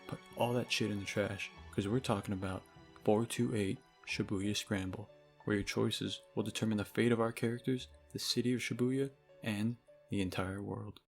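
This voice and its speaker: A man narrating a scripted video game review in a sardonic, casually profane way, recorded on a low-grade microphone. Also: fairly even intonation, moderate pace, vocal fry. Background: game music.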